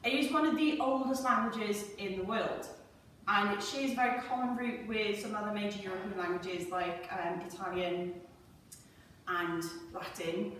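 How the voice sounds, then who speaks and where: A woman's voice: mid-range voice, steady tone, normal speed, fairly bright tone, recorded from a distance, slight background noise. A young woman from Wales with a Welsh accent, a teacher answering interview questions with upbeat enthusiasm. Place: large school classroom. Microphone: far camera. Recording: echoey classroom from afar.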